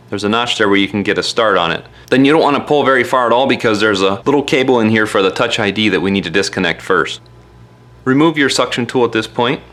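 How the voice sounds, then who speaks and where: A man's voice: medium pitch, bright, forceful tone. A man giving casual, step-by-step hands-on repair instructions in a plain-spoken, careful, folksy way. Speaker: repair tutorial presenter.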